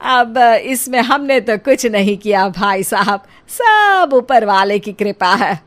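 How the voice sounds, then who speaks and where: A woman voicing a doting, boastful middle-aged mother-in-law in a coaxing, drawn-out, emphatic manner for a cartoon. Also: mid-range voice, animated, fairly bright tone. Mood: proud, coy.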